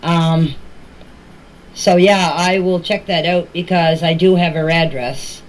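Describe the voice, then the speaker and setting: A woman's voice: fairly low pitch, fairly even intonation, slow pace. An older woman talking casually in rambling, plain-spoken voice messages, often repeating herself. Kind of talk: casual personal voice messages to a group chat. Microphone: phone voice messages. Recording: noisy amateur voice message.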